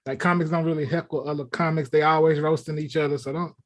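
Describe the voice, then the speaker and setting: A man's voice: on the high side, level tone, quick pace. A man on a talk show panel chatting casually, giving opinions in a colloquial, conversational way.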